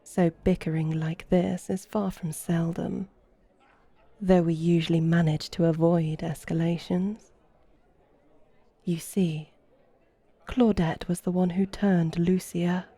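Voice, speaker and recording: A woman playing a courtly, flirtatious vampire in an ASMR roleplay, speaking softly with a teasing, formal, elegant manner. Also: on the low side, little pitch movement, slow pace, pauses often, dry recording, heavily acted. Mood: gracious, confiding. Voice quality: soft, breathy. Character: flirtatious, courtly female vampire guiding a guest.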